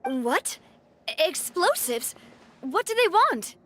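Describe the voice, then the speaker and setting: A woman voicing a confident young woman gang leader in a video game, warm and decisive. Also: very high voice, very animated, takes it slowly, a little hiss or hum, full character voice.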